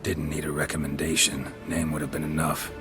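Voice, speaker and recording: An actor playing a gruff, weary, sardonic monster hunter in a video game, with a terse, deadpan delivery. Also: male voice, extremely low pitch, brisk, over music. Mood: dry, matter-of-fact.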